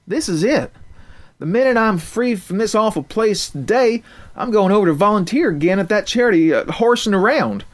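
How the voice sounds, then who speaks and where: A man's voice: high-pitched, voice pushed up. Tough small country girl, rural drawl, raised voice, as a man puts her on. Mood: calmer.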